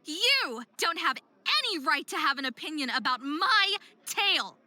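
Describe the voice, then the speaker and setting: Bright, high-voiced, hot-headed young faerie. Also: female voice, sing-song, a little slow, no room sound, full character voice. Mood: furious. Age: young adult. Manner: indignant, emphatic, dramatic delivery. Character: hot-headed, distraught young faerie woman, a competitive skater. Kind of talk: scripted visual novel game acting.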